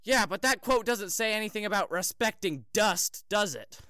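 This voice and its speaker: Man acting insolent young male scoundrel, high bright voice, taunting, audio drama. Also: animated, normal speed, no room sound, studio quality. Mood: sneering, taunting.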